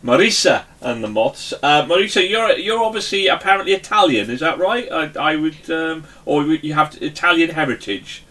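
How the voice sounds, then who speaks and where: A man's voice: on the high side, lively intonation, moderate pace, few pauses, pushed and bright. A man hosting a casual interview in a jokey, rambling way, often repeating himself. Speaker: interview host.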